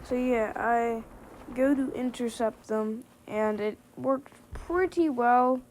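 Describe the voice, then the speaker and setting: A woman giving a rambling gameplay commentary voice-over, slightly hoarse from a winter cold and full of fillers and restarts. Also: on the high side, slow, a little mellow, slight background noise, rough recording. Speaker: gameplay video creator.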